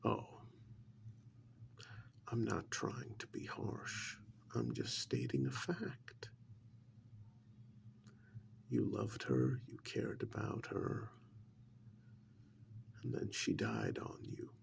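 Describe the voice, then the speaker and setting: A man playing a calm, probing government agent in an ASMR role-play, speaking in a soft breathy half-whisper with a measured, condescending manner. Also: on the low side, a little hiss or hum, full character voice. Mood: needling, cold. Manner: measured, condescending, deliberate with long pauses.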